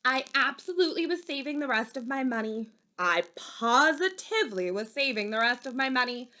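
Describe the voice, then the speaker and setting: A woman reading a children's story aloud expressively, with dramatic pauses and emphasis. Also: high-pitched, lively intonation, sharp tone. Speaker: storybook reader.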